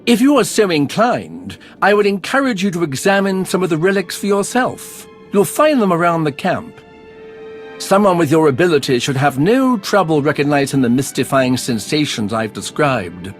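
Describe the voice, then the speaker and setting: An actor playing an eager, courteous archaeologist in a video game, speaking in a formal, wordy and effusively polite way. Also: male voice, fairly high pitch, very animated, moderate pace, soft background music. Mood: eager, fascinated. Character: eager, courteous male archaeologist, scholarly and enthusiastic.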